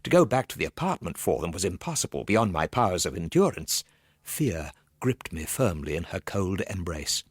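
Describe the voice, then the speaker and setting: Deep-voiced, haunted civil servant. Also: male voice, sing-song, moderate pace, slightly rough, no echo, clean studio sound, heavily acted. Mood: despairing panic. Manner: theatrical, suspenseful, dropping at times to hushed asides. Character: overwrought, gloomy male minor civil servant recounting his terror. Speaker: actor narrating a radio drama. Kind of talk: dramatic first-person ghost story narration for radio.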